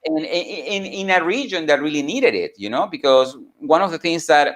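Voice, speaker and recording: A man from Venezuela, a native Spanish speaker with a Venezuelan accent, telling his story informally as an entrepreneur and mentor, in an earnest, halting way that repeats phrases. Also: fairly high pitch, animated, a bit bright. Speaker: entrepreneur and mentor giving a talk.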